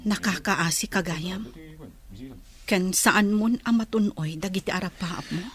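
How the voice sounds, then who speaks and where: A woman's voice: mid-range voice, unhurried, close to an everyday voice. A woman with an Ilocano Filipino accent acting a forgiving, dutiful young daughter in a radio drama. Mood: hurt, sober. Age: young adult.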